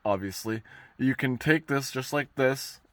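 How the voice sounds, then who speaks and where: A man gives a casual hands-on DIY truck tutorial in a halting, rambling way, often correcting himself as he goes. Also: mid-range voice, no room sound. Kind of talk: casual hands-on how-to talk. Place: inside a parked pickup truck cab.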